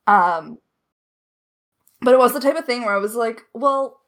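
A woman's voice: a little high, animated, normal speed, a bit bright. Woman chatting candidly in a Q&A. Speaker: lead writer of an audio drama. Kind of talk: casual Q&A conversation.

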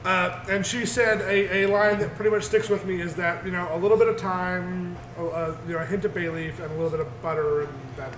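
A man's voice: high-pitched, little pitch movement, a little fast, hardly any pauses. A man co-hosting a casual group podcast, rambling with lots of fillers. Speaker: podcast co-host. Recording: echoey room with background noise.

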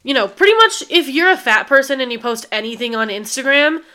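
A woman's voice: high-pitched, animated, a little fast, bright, forceful tone, smooth. A young woman co-hosting a podcast, chatting casually, agreeing and bluntly adding slangy remarks. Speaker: podcast co-host. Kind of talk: casual chat.

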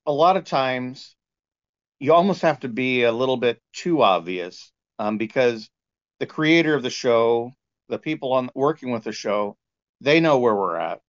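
A man being interviewed as a sound engineer, explaining in a conversational, halting way with lots of "you know". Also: medium pitch, animated. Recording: dull, lo-fi band-limited sound.

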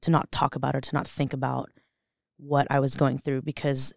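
A woman's voice: very deep voice, flat delivery, brisk, creaky, no echo, clean studio sound. A young adult woman, a podcast guest, speaking earnestly and emotionally, with many fillers and restarts. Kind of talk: candid interview conversation.

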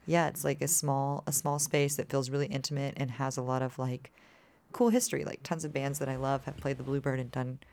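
A woman in her thirties with a low voice, chatting casually as a musician guest and filling her talk with "like". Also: fairly even intonation, brisk, a little mellow, slight background noise. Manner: chatty, peppered with "like" fillers. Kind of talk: casual interview chat.

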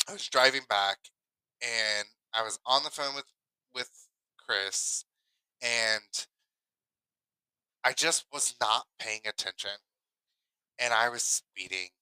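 A man's voice: lowish voice, sharp tone, clean tone, no room sound, poor audio. A man co-hosting a podcast, telling a personal story casually and emphatically, often repeating himself to drive a point home. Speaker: podcast co-host.

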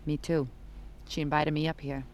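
A woman's voice: sits low, little pitch movement, moderate pace, slight background noise, close to an everyday voice. A woman playing a cool, guarded police detective in a naturalistic audio drama. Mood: guarded, reflective.

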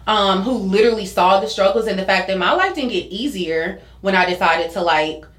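A woman's voice: medium pitch, brisk. Young woman talking candidly in an echoey room. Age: 20s. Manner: candid, informal, slangy. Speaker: podcast guest, social media content creator. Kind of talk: casual conversational interview.

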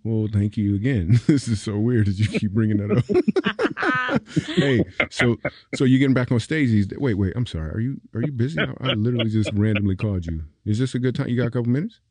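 A man's voice: medium pitch, very animated, fairly quick, darkish tone, no room sound. A man hosting a comedy podcast, joking and teasing playfully and laughing often. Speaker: podcast host. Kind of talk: casual comedy podcast banter.

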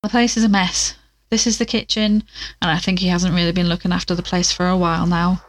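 A woman's voice: lowish voice, level tone, brisk, no echo, natural voice. A woman playing a plucky, curious investigator in an audio drama, chatting to listeners with wry asides as she searches.

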